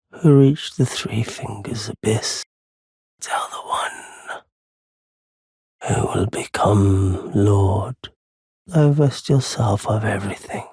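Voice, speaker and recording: Casting: weary game merchant, very raspy and breathy, slow, courteous, formal delivery. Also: male voice, on the low side, no room sound, full character voice. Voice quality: husky, breathy, gravelly. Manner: measured, hushed, formal wording. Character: weary, courteous wandering merchant, darkened by anguish. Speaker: merchant character. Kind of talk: dramatic video game voice acting.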